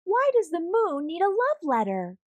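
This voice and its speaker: A woman's voice: very high-pitched, huge pitch swings, normal speed, no room sound, muffled recording, voice pushed up, heavily acted. A woman voicing an earnest storybook hedgehog, uncertain, curious and insistent. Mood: puzzled.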